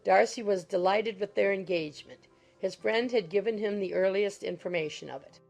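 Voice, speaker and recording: A woman's voice: medium pitch, moderate pace, creaky, a little mellow, soft background music, rough recording. A woman reading scripted literary narration as the narrator of a classic audiobook.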